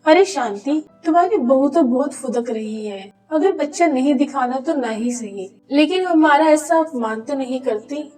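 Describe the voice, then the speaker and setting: A high-voiced neighbourhood gossip played by a woman in a cartoon story. She is prying and taunting, with music playing softly behind her. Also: animated, measured pace, few pauses, far from the mic. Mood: offended.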